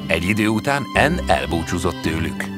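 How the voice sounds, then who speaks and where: A man narrating a scripted fairy tale in an expressive storytelling manner. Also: sits low. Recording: music under the voice. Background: background music.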